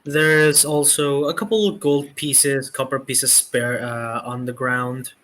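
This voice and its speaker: A man's voice: mid-range voice, fairly even intonation, slow, fairly bright tone. A man running a tabletop game as game master, describing scenes with lots of hesitations. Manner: hesitant, full of fillers and restarts. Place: home setup on a livestream.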